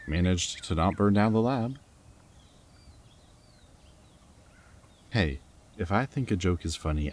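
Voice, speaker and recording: A man's voice: deep voice, a little mellow. A man playing a goofy, affectionate young rebel scientist in an intimate roleplay audio drama, talking tenderly and earnestly to his partner. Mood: cheerful. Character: goofy, affectionate young male rebel lab scientist and anxious foster parent.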